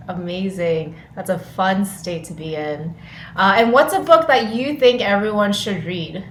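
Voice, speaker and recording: A woman's voice: medium pitch, measured pace. A woman hosting a podcast interview in an enthusiastic, informal way, moving through questions. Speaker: podcast host. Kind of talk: upbeat interview with quick questions. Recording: roomy echo, faint noise.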